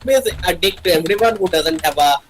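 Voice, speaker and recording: A man with a fairly high voice chats casually on a group livestream. His intonation is animated and moves freely up and down as he talks. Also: quick pace, slight background noise.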